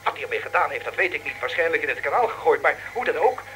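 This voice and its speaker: An actor playing an excitable, stubborn rookie radio reporter in a radio drama, rambling and stammering urgently down a telephone line. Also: male voice, fairly high pitch, quick pace, a little hiss or hum. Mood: excited, rambling.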